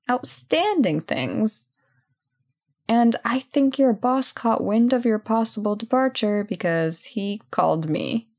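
A woman playing a self-assured but guilt-ridden CEO and former bully in an intimate audio roleplay, speaking conversationally with hesitant pauses. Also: medium pitch, a little mellow. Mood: assertive, persuasive. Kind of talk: intimate ASMR roleplay acting.

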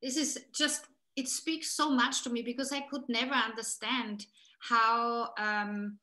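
A woman's voice: a little high, little pitch movement, unhurried, sharp tone. A middle-aged woman interviewer asking questions over a video call, searching and rephrasing as she talks. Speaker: interviewer, host. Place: room with bookshelves, indoors.

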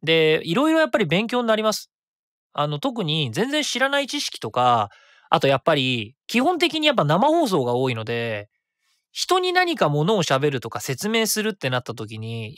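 A man around forty, hosting his own web radio show in a chatty, rapid way that stays easy to follow. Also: a little high, fairly bright tone, no room sound, clean studio sound. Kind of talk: casual solo radio talk.

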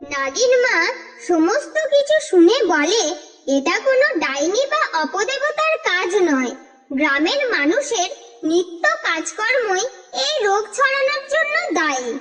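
A woman narrating a cartoon folk tale in a steady, expressive storytelling manner. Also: very high-pitched, unhurried. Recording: reverberant with music underneath.

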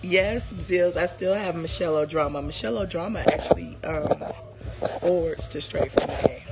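A hoarse woman in her forties, chatting casually on a live stream from her living room, rambling and greeting viewers by name, with a phone held at arm's length. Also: lowish voice, normal speed, music in the background. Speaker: livestream host. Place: living room at home, indoors.

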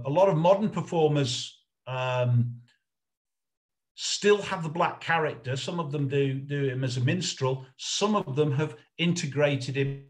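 Halting man giving an informal lecture. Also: medium pitch. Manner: halting, thinking aloud. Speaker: lecturer on puppet history. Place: indoors, online presentation on video call.

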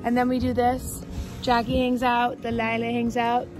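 A woman's voice: fairly high pitch, monotone, normal speed, music in the background. A young woman in her twenties chatting casually as she vlogs outdoors on a farm, filming herself on a phone held at arm's length. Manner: chatty, bubbly, talks to animals in a baby voice. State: out of breath while running. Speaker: vlogger.

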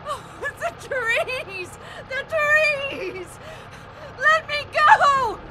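A woman's voice: extremely high pitch, lively intonation, drawn-out words, very noisy, heavily acted. An actress playing a terrified, frantic woman fleeing through the woods in a radio drama, pleading and crying in panic. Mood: hysterical panic. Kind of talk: audio drama acting. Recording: loud music over the voice.

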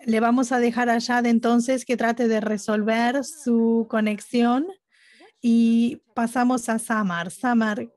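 A woman giving live interpretation at a webinar, speaking haltingly phrase by phrase. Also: a little high, darkish tone. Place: remote online conference audio channel.

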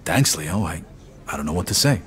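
Low-voiced young mobster. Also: male voice, lively intonation, a little fast, fairly bright tone. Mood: respectful. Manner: casual, streetwise, clipped. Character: young tough American mobster, plainspoken ex-convict. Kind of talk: game cutscene acting.

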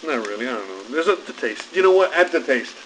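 A man's voice: medium pitch, sing-song, quick pace, fairly bright tone, rough recording. Easygoing man chatting over kitchen noise. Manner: easygoing, rambling. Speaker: home cooking vlogger. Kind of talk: casual cooking vlog chat. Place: home kitchen, indoors. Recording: noticeable background noise in a kitchen.

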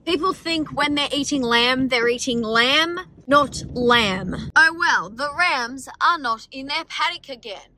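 A young Australian woman talks to her phone at arm's length, in a fairly high voice. She is wry and blunt and swears freely. Wind and engine rumble come through clearly behind her. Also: expressive, measured pace, few pauses, sharp tone. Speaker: farm vlogger. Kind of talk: casual vlog chat. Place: car, tractor cab and open paddocks on a windy farm. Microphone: phone camera held at arm's length.

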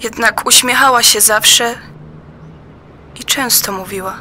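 A woman giving a dramatic poetry recitation in a plaintive, reproachful and deliberate manner. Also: fairly high pitch, fairly even intonation, bright, forceful tone, slight background noise. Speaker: performer in an audio play. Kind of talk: dramatic recitation of a personal poem.

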